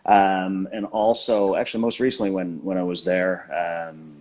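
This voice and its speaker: A man with a fairly low voice, hosting a casual live conversation. He talks in a halting way, full of pauses and restarts, and pokes fun at himself. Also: level tone, darkish tone. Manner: hesitant, many fillers and restarts, self-deprecating. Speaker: baseball channel host. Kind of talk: casual chat on a livestream.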